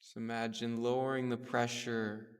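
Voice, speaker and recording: A man leading a guided meditation with gentle, soothing, unhurried instruction. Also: mid-range voice, level tone, clean tone. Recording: distant mic in a reverberant space.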